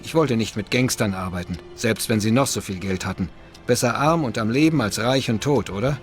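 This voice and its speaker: A man's voice: lowish voice, a little fast, lightly acted. An actor playing a modest, wary male taxi driver in a video game, polite and plain-spoken. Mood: reflective. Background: music.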